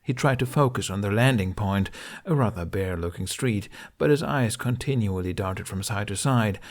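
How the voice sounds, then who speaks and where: A man reading fiction as an audiobook narrator, with expressive, dramatic storytelling. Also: lowish voice, normal speed.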